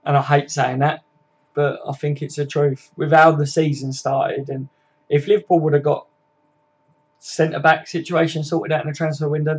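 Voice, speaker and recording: A man's voice: fairly high pitch, darkish tone, slightly rough. A man, hosting a casual live stream, chatting matily with viewers and laughing easily. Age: 20s.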